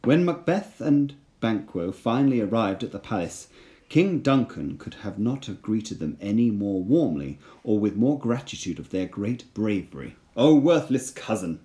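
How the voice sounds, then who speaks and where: Mellow, animated male storyteller. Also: lowish voice, normal speed. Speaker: storyteller reading aloud. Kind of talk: dramatic scripted storybook narration.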